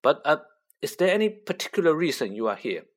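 A woman's voice: sits low, big pitch swings, normal speed, voice pushed up. A woman voicing a polite, nervous young boy, hesitant and well-meaning, in an audiobook. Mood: polite, puzzled. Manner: hesitant, courteous.

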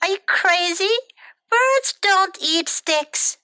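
A woman's voice: high-pitched, big pitch swings, takes it slowly, bright, forceful tone, no room sound, pitched above the performer's own voice, exaggerated voice. A woman voicing a fussy, melodramatic little bird in a children's storybook, whiny and demanding. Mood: pleading, indignant.

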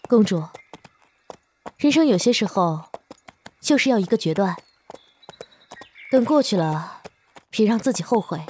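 A woman voices a loyal female bodyguard who passes as a man. Her mid-pitched voice is composed and earnest, with lasting regret in it. It is period audio drama with slight noise behind. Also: expressive, a little slow, long pauses, darkish tone, lightly acted. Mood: regretful.

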